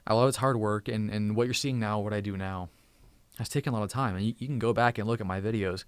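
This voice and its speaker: A man in his thirties chats with his viewers on a live stream from home, upbeat and thankful, often tripping over and repeating words. His voice sits fairly low and sounds dry and close to the mic. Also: fast talker, smooth, no room sound. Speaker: tech channel host. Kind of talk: casual live stream chat. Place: home room.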